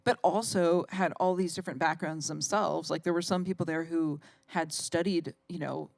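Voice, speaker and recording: A woman's voice: on the low side, steady tone, normal speed, vocal fry, darkish tone, no echo. A woman pianist and composer chatting as a guest in an interview, laughing often and trailing off with fillers. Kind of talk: casual interview conversation.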